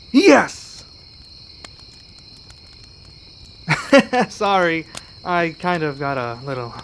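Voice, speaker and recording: An earnest young male werewolf played by a man speaks in a slightly high voice at a slow, careful pace. He is sincere and a touch hesitant, as in an intimate ASMR fantasy roleplay. Faint cricket and insect ambience sits behind him. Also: fairly bright tone, a little hiss or hum, heavily acted. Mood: relieved, excited, then sheepish.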